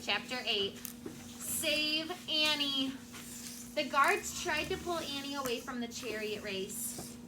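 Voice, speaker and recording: Teacher lady reading a storybook out loud, expressive, kinda high voice, clear background noise. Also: slow. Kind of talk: storybook read-aloud with class questions.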